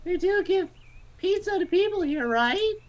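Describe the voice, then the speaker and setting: Very squeaky, excitable narrator girl. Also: unhurried. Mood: earnest musing. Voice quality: very high-pitched, silly put-on voice. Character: excitable, pizza-obsessed narrator with a silly high voice. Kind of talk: silly character read-aloud of a visual novel.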